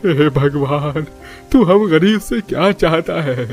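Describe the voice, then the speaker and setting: A slow, slightly high male voice over music, an actor playing a poor cartoon man in despair. He pleads in anguish, drawing his words out. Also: lively intonation, darkish tone.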